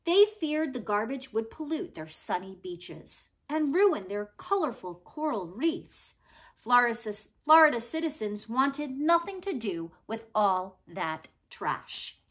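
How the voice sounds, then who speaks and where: A woman reads a story aloud in a high, bright voice. Her pitch swings in an animated way, and she leans on key words with dramatic pauses, like a storyteller reading to children. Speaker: reader of a children's non-fiction book. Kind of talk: expressive read-aloud narration.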